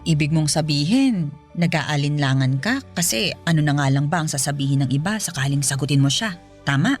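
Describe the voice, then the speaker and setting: A woman's voice: deep voice, expressive, a little fast, soft background music. An actress playing a caring, perceptive middle-aged mother in a radio drama, coaxing and firmly reassuring. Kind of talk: Filipino radio drama acting.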